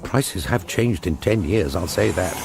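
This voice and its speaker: An actor playing a wry, evasive middle-aged painter on the run, confiding in a British accent in a radio drama. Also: male voice, low voice, expressive, measured pace, creaky, heavy background noise, exaggerated voice. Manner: droll, self-justifying, verbose. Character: wry, evasive fugitive painter reduced to pavement art.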